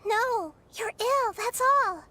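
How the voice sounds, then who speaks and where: A high-voiced gentle child goddess. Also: female voice, slow pace, very noisy. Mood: earnest. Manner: soft, pleading, earnest.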